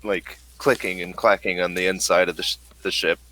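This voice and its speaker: Deep-voiced man narrating a tabletop game. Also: little pitch movement, pushed and bright, low-quality recording. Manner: halting, searching for words. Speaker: game master.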